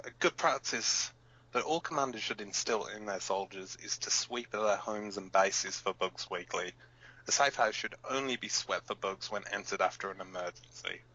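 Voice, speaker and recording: A man's voice: on the low side, sharp tone, muffled recording. A man playing a hot-headed, protective resistance fighter who hosts a rebel radio broadcast in an audio drama, blunt, emphatic and given to swearing.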